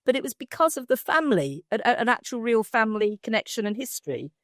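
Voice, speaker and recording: A woman's voice: a little high, sing-song, fast, no room sound, studio quality. An older woman with a British accent, arguing her case as a debate panellist in an emphatic, discursive way. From Britain. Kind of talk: informal debate argument.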